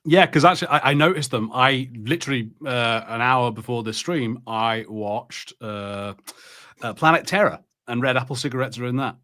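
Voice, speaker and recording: Male co-host, bright forceful tone, average pitch, casual chat, halting and self-deprecating. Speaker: podcast co-host. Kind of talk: casual watch party chat.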